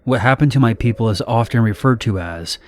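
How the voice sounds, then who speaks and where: A man reading first-person horror stories in a steady, measured, somber narration. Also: mid-range voice, slight background noise.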